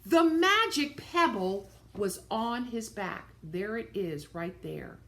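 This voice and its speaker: A woman's voice: mid-range voice, animated, takes it slowly, fairly bright tone. A woman reading a picture book aloud to children, expressively, with simple explanatory asides about the pictures.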